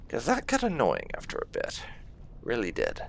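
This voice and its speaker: Man commentating with very animated intonation. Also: mid-range voice, moderate pace, gravelly, slight background noise. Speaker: gaming video host. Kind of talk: casual game playthrough commentary. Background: game music.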